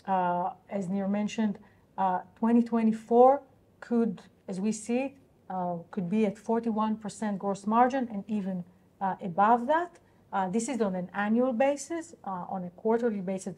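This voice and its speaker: A woman speaks as a company executive on an investor call. Her voice is mid-pitched and soft-edged, with a mellow tone. She explains in a businesslike but halting way, feeling her way through each answer. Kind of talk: unscripted earnings call answers.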